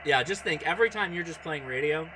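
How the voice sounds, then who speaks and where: A man's voice: fairly high pitch, animated, fast, over music, low-quality recording. A man chatting casually on a livestream as he operates a radio, thinking aloud in a distracted way. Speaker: amateur radio streamer.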